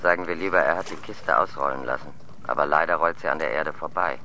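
An actor in a radio drama playing a matter-of-fact, tactful space mission colleague giving a sober briefing. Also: male voice, very deep voice, fast, noisy background. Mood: sober, guarded.

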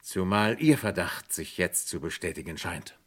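A man playing a courteous, methodical and firm French police commissioner in a formal, measured German radio crime drama style of the mid twentieth century. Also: low voice, expressive, slightly rough. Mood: deliberating.

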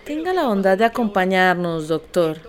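A woman's voice: mid-range voice, big pitch swings, moderate pace, close to an everyday voice. A woman with a Colombian accent playing brusque, combative characters theatrically in a radio play. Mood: bossy. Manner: emphatic, theatrical. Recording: music running under the voice.

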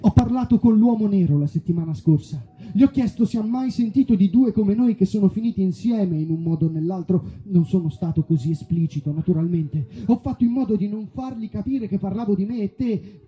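A man's voice: high voice, fairly quick, hardly any pauses, warm tone, soft background music, natural voice. A man playing a lovesick, solemn wolf in a theatrical comic monologue, melodramatic and pining. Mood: wounded. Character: lovesick big bad wolf reading a secret love letter.

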